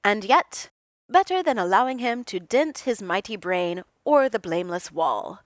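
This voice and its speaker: A woman voicing a kind, booming man who speaks in a formal, archaic way. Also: lively intonation, moderate pace, no echo, studio quality, lightly acted. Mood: hearty. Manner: formal, old-fashioned phrasing, loud. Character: hearty formal man, archaic speech, kindly.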